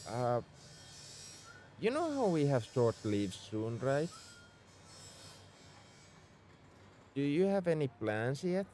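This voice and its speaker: A man's voice: medium pitch, a little mellow, slight background noise. A man playing a young tsundere spaceship mech engineer in an audio roleplay, defensive about his feelings as he speaks straight to the listener. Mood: flustered, shy. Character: gruff-caring tsundere male mech engineer, young adult. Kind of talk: ASMR roleplay acting, addressed to the listener.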